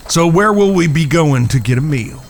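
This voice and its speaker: A man's voice: fairly high pitch, animated, normal speed, a little hiss or hum. A man playing a mild, hesitant, deferential cowboy in a western audio drama. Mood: agreeable, uneasy. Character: polite, hesitant cowboy suitor of the Old West. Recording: clear background ambience behind dialogue.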